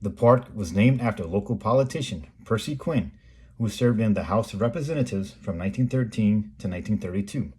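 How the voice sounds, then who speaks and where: A middle-aged American man reading a scripted voice-over in a measured, plain explanatory way, as the host of a photography video. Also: on the low side, expressive, darkish tone, husky. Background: background music.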